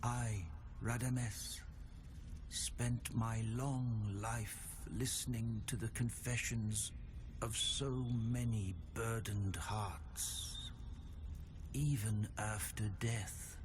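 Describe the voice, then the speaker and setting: Really deep slow old undead confessor, mournful n solemn, dark fantasy game. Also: male voice, level tone, background noise. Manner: grave, archaic, drawn-out and deliberate.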